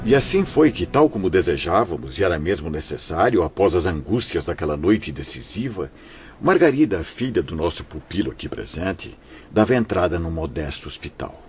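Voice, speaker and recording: A man's voice: sits low, big pitch swings, moderate pace, lo-fi sound, faint music behind. A man playing a wise, benevolent spirit mentor in an audio drama, speaking in a solemn, formal way. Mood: solemn, kindly. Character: wise, benevolent male spirit mentor narrating past events.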